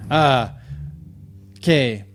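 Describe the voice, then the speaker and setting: A man's voice: medium pitch, drawn-out words, soft background music. A man hosting an interview in a loose, informal way, rambling a little as he asks his questions. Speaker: podcast host, former bull rider. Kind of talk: casual interview chat.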